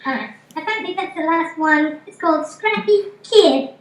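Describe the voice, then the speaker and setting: A man using a voice changer preset that turns his voice into a squeaky, cartoonish kid. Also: moderate pace, fairly bright tone, echoey room. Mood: gleeful.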